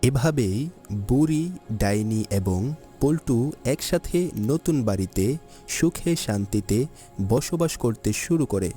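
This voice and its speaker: A man's voice: medium pitch, animated, takes it slowly, long pauses, mellow, faint music behind. A man narrating a scripted children's cartoon story as its storyteller.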